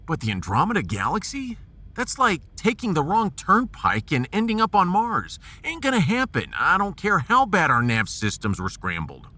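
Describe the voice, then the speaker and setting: A man playing a gruff, folksy American starship captain in a sci-fi audio drama, resolute and commanding with homespun slang. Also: high-pitched, huge pitch swings, normal speed, bright, forceful tone, full character voice. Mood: skeptical.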